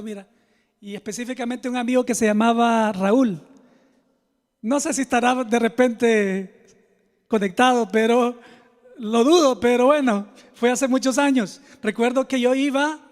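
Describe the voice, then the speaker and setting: A Salvadoran man preaches in a very high-pitched voice, with long reverb trailing his words. He tells personal stories like a pastor confiding in his listeners. His delivery is informal and direct, closer to conversation than oratory. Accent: Salvadoran Spanish. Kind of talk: conversational sermon with personal storytelling.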